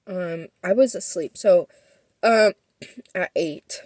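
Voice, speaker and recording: A woman's voice: on the low side, slow, darkish tone, no echo, studio quality. A young woman telling a personal story as a vlogger, rambling and defensive with many fillers, sniffling.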